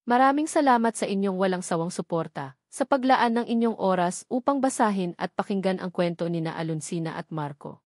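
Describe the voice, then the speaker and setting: A woman with a Filipino accent narrates a sentimental romance story from a script in an earnest, measured way. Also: mid-range voice, animated, darkish tone, dry recording, studio quality.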